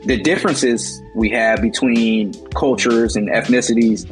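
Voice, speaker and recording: A young American man in his twenties speaks as an interview guest, earnest and motivational, his talk full of "like" and restarts. His pitch sits in the middle range, and soft guitar music plays faintly behind him. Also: fairly even intonation, a little slow. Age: 20s. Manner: earnest, motivational, many "like" fillers. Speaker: guest, travel agency founder.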